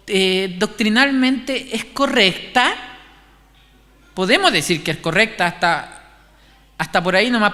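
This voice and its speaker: A man with a Chilean accent giving an informal, conversational sermon, haltingly repeating and restarting his phrases. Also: extremely high pitch, pushed and bright. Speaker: preacher. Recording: echoey hall, long reverb.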